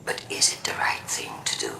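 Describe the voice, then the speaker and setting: An actor playing a grave, suspicious and proud war-faction leader in a video game, delivering measured, ominous oratory. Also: male voice, in a whisper, lightly acted. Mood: ominous warning. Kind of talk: dramatic video game monologue.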